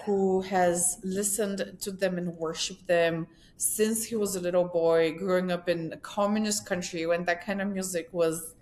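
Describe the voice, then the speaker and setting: Woman chatting over noticeable rumbly noise. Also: fairly even intonation, moderate pace, few pauses, a little mellow. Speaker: podcast guest, communications executive. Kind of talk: casual interview conversation.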